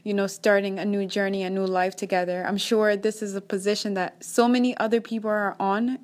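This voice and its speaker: A woman's voice: mid-range voice, level tone, fairly quick. A young woman from Kenya with a Kenyan accent, hosting a faith-based podcast in an upbeat, encouraging way. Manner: upbeat, encouraging, praise-filled. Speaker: podcast host.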